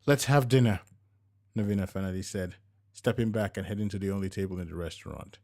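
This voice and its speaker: Male narrator for prose fiction, lower-pitched voice, scripted storytelling delivery. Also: fairly even intonation, fast, warm tone.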